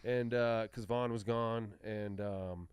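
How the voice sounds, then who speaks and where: A man's voice: lowish voice, steady tone, takes it slowly, a little mellow, clear, resonant tone, dry recording. A man hosting a podcast, asking laid-back questions and chiming in with short agreements. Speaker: podcast host. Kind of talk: casual interview chat.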